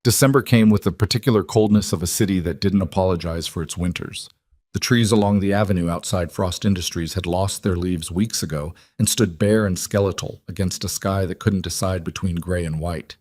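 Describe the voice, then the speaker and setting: A man's voice: deep voice, a little mellow, no echo, studio quality. A man reading a scripted story as its narrator.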